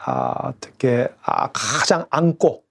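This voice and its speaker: A man's voice: medium pitch, huge pitch swings, takes it slowly, creaky, fairly bright tone, slightly rough. A middle-aged man, a pastor, speaking earnestly and with fervor in a radio interview, with a regional dialect he mentions himself.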